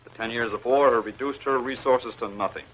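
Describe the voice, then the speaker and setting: An actor playing a firm, authoritative president in a 1950s television space serial, speaking formally and curtly. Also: male voice, medium pitch, steady tone, brisk, lightly acted. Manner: formal, curt, official. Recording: muffled, hissy 1950s film soundtrack.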